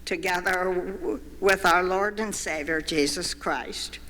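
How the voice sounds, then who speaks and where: An older man delivers a memorial tribute in a measured, read-aloud way. His voice sits high and bright for a man. Long church reverb trails every phrase. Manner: measured, reads prepared remarks. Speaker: eulogy speaker. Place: church sanctuary.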